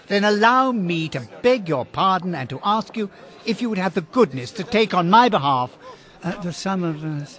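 An actor playing a reformed old miser, contrite and generous, effusive and stammering in a radio drama. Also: male voice, high voice, expressive, moderate pace, fairly bright tone. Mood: effusive, joyful. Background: background music.

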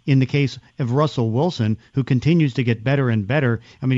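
A man's voice: mid-range voice, a little mellow, no echo. Man explaining football, slightly dull dry recording. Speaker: podcast host and football analyst. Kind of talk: conversational sports analysis.

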